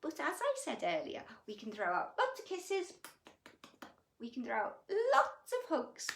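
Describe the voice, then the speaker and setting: Female children's storyteller: very animated, unhurried, gushing and affectionate, exclaiming to little listeners, in a roomy recording. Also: a little high. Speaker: children's bedtime story reader and host. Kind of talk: animated storytelling and chat addressed to young children.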